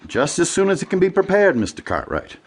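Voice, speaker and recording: An American actor playing a cautious deputy district attorney in a radio drama, polite, official and probing. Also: male voice, high-pitched, huge pitch swings, brisk, slightly rough, exaggerated voice. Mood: placating.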